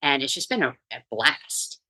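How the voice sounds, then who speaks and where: A middle-aged woman from New York, a coach and author, telling her story in a chatty, energetic way as a podcast guest. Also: very deep voice, normal speed. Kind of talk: casual podcast interview.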